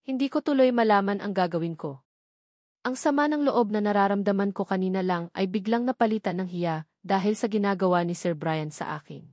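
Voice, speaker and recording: Female narrator, Filipino accent, scripted romance storytelling, low-set voice for a woman kept mid-range, dry close sound. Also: a little fast, a little mellow, no room sound. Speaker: audiobook narrator. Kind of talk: scripted first-person romance novel narration.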